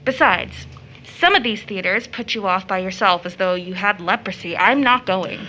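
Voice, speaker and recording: A woman's voice: mid-range voice, expressive, normal speed, bright, forceful tone, a little hiss or hum, poor audio. An actress playing a proud, bitter young woman who is kind to children, in a scripted audio drama. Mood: indignant.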